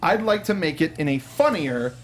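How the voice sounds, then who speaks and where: A man co-hosting a casual film review show, talking through production trivia in a halting way, repeating words as he restarts. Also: fairly high pitch, animated. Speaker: talk show co-host.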